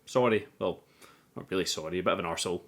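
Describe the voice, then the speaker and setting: A man's voice: sits low, rapid-fire, fairly bright tone. A man hosting a film review, narrating a scripted recap with irreverent, sweary, teasing commentary.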